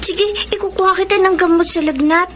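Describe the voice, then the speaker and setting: A very high, childlike little girl in a radio drama, earnest and caring toward her family. The track has clear background noise. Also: steady tone, a little fast, a bit bright. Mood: concerned. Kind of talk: Tagalog radio drama acting.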